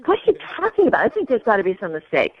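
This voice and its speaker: A woman talking on the telephone in an animated, insistent way, heard over a band-limited line. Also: medium pitch, very fast, breathy edge, dry recording. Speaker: prank call target. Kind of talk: phone conversation. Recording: band-limited phone line on radio show.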